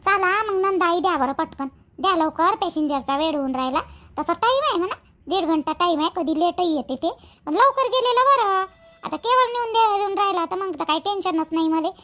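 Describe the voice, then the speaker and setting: A woman's voice: very high voice, lively intonation, fairly quick, sharp tone, heavily acted. A woman voicing a busy, talkative village mother in a cartoon, rambling and repeating herself in colloquial Vidarbha Marathi. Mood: chatty, practical. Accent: Varhadi Marathi, Vidarbha. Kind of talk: rural family cartoon dialogue.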